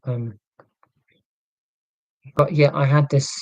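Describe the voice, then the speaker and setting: Middle-aged guy, neutral southern english accent, slow careful talk, mellow voice, dry sound. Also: mid-range voice, fairly even intonation, no echo, lo-fi sound. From Scotland, raised in England. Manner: slow, deliberate speech with pauses. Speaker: vlogger. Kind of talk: casual reflective monologue.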